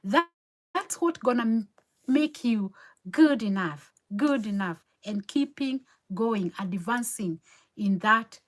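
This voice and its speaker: A woman's voice: a little high, expressive, takes it slowly. A Kenyan woman, native Kikuyu speaker, hosting a motivational live stream, earnest and encouraging, repeating her key phrases. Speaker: motivational livestream host. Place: home in New York City.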